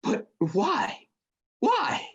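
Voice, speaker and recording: An actor playing a warm-hearted, earnest young gentleman, a cheerful nephew, with hearty declamation in a radio play. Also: very slow, highs cut off.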